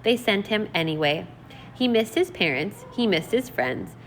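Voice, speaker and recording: A woman teacher reading aloud and explaining in an expressive, deliberate, instructional way. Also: medium pitch, a little hiss or hum. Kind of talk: read-aloud lesson, narrating and explaining vocabulary.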